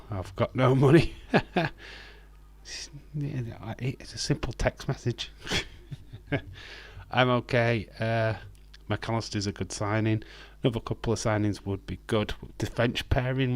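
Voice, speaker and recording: Slightly croaky man chatting casually on stream. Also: lowish voice. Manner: rambling, friendly, with frequent hesitant fillers. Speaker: live stream host. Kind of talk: casual live watch-along chat, reading viewer comments.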